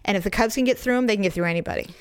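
A middle-aged woman, slightly hoarse, telling a story in casual, animated chat and laughing often. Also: medium pitch, racing, no room sound, clean studio sound. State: hoarse, recovering voice.

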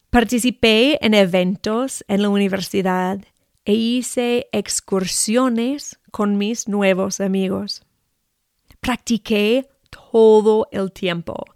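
A woman in her forties with an American accent reads her own story aloud at a slow, deliberate pace. Her voice has a gravelly, creaky edge, and the sound is dry and close. She is a native English speaker and Spanish teacher who sounds careful over each phrase. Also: medium pitch, no room sound. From United States. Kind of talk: read personal narrative.

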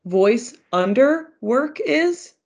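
A woman's voice: a little high, very animated, very slow, darkish tone. A woman co-hosting a podcast, asking earnest questions and poking fun at herself. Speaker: podcast co-host. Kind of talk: casual podcast chat.

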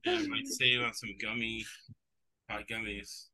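Male betting-show host, second-language English speaker, very bright tone, rambling asides. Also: mid-range voice, wildly swooping pitch, measured pace. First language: not English. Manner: rambling, digressive, chuckles often. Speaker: sports betting podcast host. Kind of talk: casual betting talk.

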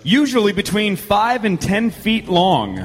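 A man with a high, very animated voice reads quiz clues like an announcer. The sound carries a long reverb from a live venue, with faint music behind him. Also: measured pace. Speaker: game show host.

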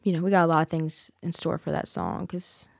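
A woman's voice: sits low, steady tone, brisk, creaky, no room sound, studio quality. A woman singer chatting as an interview guest, earnest and candid, with plenty of fillers and restarts. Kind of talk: casual interview chat.

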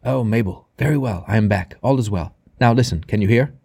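A man reading the part of an excited, commanding husband in a novel, speaking in brisk, urgent, clipped phrases. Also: on the low side, creaky, husky, dry recording, studio quality.

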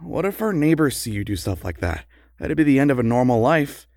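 A man's voice: medium pitch, very animated, fairly quick, darkish tone. A man acting an anxious young attorney husband in an audio comedy drama. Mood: wry worry.

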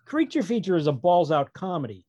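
A man's voice: sits high, big pitch swings, fairly quick, warm tone, no echo, lo-fi sound. A man speaking as a guest author in a casual interview, rambling along as a wry storyteller. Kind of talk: casual interview chat about own writing.

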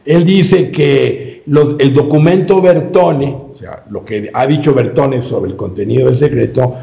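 A man explaining at length as a guest in an interview, measured and detailed, often restarting his phrases and pausing with fillers. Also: mid-range voice, vocal fry, dark tone, echoey room, highs cut off. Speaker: guest expert.

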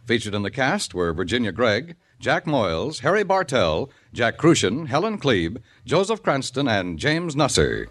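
A man's voice: mid-range voice, very animated, normal speed, few pauses, fairly bright tone. A man announcing scripted credits in the style of 1950s radio.

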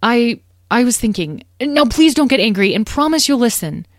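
A woman's voice: a little high, sing-song, moderate pace, no room sound, clean studio sound, voice pushed up. A woman voicing an earnest young male dragon, a loyal son in a storybook, hesitant and pleading. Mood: nervous.

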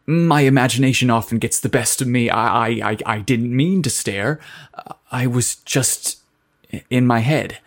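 A man's voice: mid-range voice, animated, a little slow. A nervous young writer, stammering and flustered, his words tumbling out, as a male narrator puts him on. It is a plain storybook voice close to the reader's own. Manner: stuttering, flustered.

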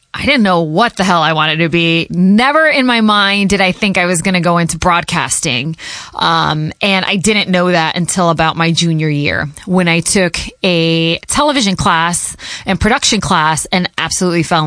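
A woman's voice: fairly low pitch, a bit bright, dry recording. A woman in her thirties from Connecticut, a radio host whose first language is Portuguese, chatting casually with listeners and answering their questions, with a loose manner and laughs between thoughts. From Connecticut, USA.

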